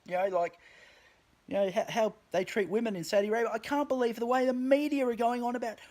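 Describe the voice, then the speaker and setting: A man's voice: extremely high pitch, steady tone, quick pace, a little mellow. A man delivering a profane opinion rant to camera, emphatic and full of swearing, often stumbling and restarting his words. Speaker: vlogger.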